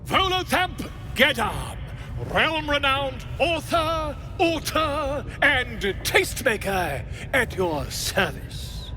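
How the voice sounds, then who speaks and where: An actor playing a pompous, self-promoting author in a fantasy game, florid, grandiose and ingratiating. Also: male voice, very high-pitched, sing-song, slow pace, pushed and bright, soft background music, heavily acted. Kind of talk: game character acting.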